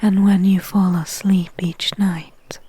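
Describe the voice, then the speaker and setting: A woman playing a calm, confident, possessive enchantress of Greek myth in a soft, breathy, soothing ASMR roleplay. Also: mid-range voice. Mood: tender, possessive. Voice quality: breathy, whispery. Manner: slow, soothing, deliberate.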